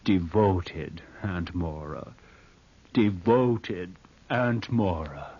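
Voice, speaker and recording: A man's voice: low-pitched, drawn-out words, slightly rough, exaggerated voice. An actor playing a scheming, bitter nephew who broods as he narrates in an old-time radio drama. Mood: bitter, resigned. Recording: dull vintage radio recording.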